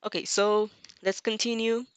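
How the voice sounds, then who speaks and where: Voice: mid-range voice, flat delivery, normal speed, smooth, dry recording. Giggly young streamer, close dry sound. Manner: giggly, playful, rambling with self-interruptions. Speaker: host of a fan fiction reading stream. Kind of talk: casual livestream chat and dramatic read-along reaction.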